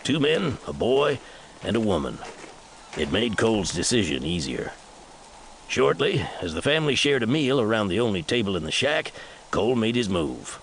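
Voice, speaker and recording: A low-voiced American man narrates a western audiobook. His delivery is lively and varied in pitch, with a gravelly creak, and there is faint noise behind the voice. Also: normal speed. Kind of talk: scripted narration of a western novel.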